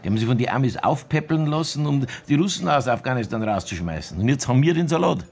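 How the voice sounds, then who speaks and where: An actor playing a stubborn police detective in a radio drama, with a Bavarian accent and a dry, persistent way of questioning. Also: male voice, mid-range voice, animated, fast talker, gravelly, a crowd in the background. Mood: indignant.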